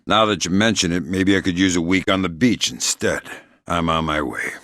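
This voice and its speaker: Game spy operative: middle-aged, gruff, very low register, sardonic and clipped, dry studio sound. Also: male voice, normal speed, no room sound. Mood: businesslike, dry. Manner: terse, dry, deadpan sarcasm. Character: hardened, sardonic male covert spy operative. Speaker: video game voice actor. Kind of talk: video game character dialogue.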